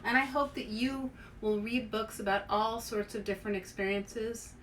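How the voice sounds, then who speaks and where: Expressive woman reading to children, faint noise. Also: fairly high pitch, normal speed, low-quality recording. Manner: expressive, addresses young listeners directly. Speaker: author and show host. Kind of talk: children's picture book read-aloud with casual asides.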